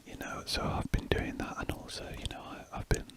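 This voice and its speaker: A speaker, chatting in a soft, rambling ASMR whisper while drawing. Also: brisk. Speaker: ASMR drawing channel host.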